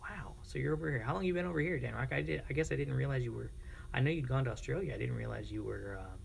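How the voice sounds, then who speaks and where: An American man streaming casually, chatting informally and reacting to viewers in a creaky, gravelly voice. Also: mid-range voice, fast talker, slight background noise. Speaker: live streamer. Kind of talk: casual livestream chat with viewers.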